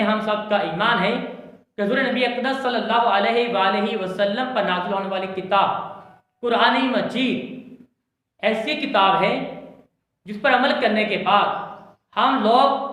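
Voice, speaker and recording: Pakistani guy teacher giving a formal lecture, highish voice, really echoey, speaks like an orator. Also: fairly bright tone. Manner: oratorical, deliberate, repeats phrases for emphasis. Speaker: Urdu language and literature teacher. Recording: strongly echoing, reverberant room sound.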